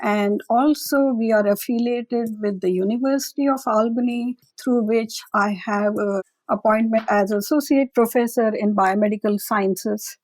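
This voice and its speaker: A woman, a lab director and guest on an interview podcast, explaining her scientific work in a measured, conversational way. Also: fairly high pitch, a little mellow, no echo, poor audio. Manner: measured, explanatory, occasional fillers.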